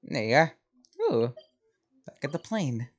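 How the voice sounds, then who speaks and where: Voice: medium pitch, sing-song, measured pace, slight background noise. A gaming streamer giving casual, off-the-cuff gameplay commentary.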